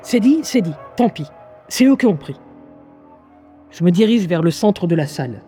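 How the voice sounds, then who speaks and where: A woman's voice: on the low side, lively intonation, moderate pace, dark tone, soft background music, natural voice. Actress as a wry, weary woman diarist. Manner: wry, exasperated, confiding. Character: weary, sardonic woman narrating from her diary. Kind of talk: French radio drama narration.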